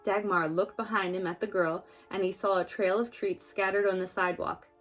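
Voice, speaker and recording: A young woman and mother reading a children's book aloud and then chatting gently with her child about it. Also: mid-range voice, moderate pace, soft background music. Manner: gentle, expressive, talks to the child.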